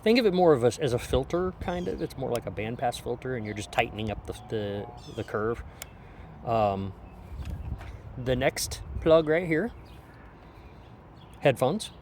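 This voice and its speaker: A man's voice: medium pitch, expressive, unhurried, long pauses, darkish tone. A man giving a casual, explanatory hands-on product review in an informal way, speaking outdoors on a deck. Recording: outdoor background noise.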